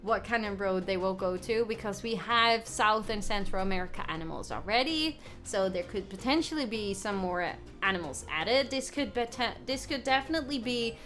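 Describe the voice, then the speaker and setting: A young woman from the Netherlands with a Dutch accent, chatting excitedly and giggling on a gaming live stream as she reads out viewers' comments. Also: medium pitch, moderate pace, few pauses, soft background music. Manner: excitable, giggly, reading chat aloud. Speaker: gaming livestreamer. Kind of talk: casual live stream chat.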